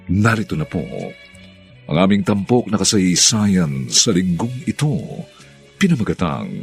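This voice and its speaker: Male narrator, deep, slow, dramatic, sweeping intonation, faint music behind. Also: creaky. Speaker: radio drama narrator and host.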